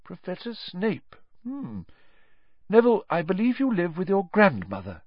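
A man voicing a cheerful, thoughtful teacher of magic in an audiobook, with a deliberate, encouraging manner. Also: high-pitched, wildly swooping pitch, no room sound, highs cut off, voice pushed up, heavily acted. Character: cheerful, reassuring, thoughtful male schoolteacher of magic. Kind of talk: audiobook character reading.

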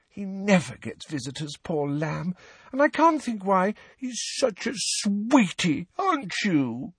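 Man putting on a motherly, high-pitched healer woman. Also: slightly rough, dry recording, full character voice. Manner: fussing, fond, coaxing. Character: motherly, doting, cheerful female healer.

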